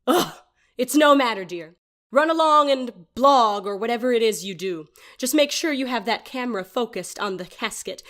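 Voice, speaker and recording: Haughty, high-voiced prim lady, played by a woman. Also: close to an everyday voice. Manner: imperious, condescending, disdainful. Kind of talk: audio drama acting.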